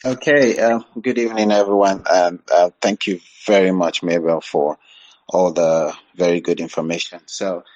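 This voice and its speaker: A man's voice: low-pitched, steady tone, normal speed, darkish tone, muffled recording. A man speaking conversationally as an audience member, politely and a little hesitantly. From Nigeria. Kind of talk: conversational question in an online discussion.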